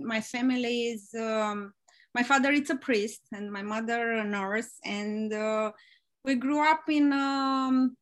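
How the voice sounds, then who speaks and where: A woman's voice: a little high, fairly bright tone. Hesitant woman. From Romania. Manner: hesitant, many fillers, searching for words. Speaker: guest, life coach. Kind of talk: casual interview.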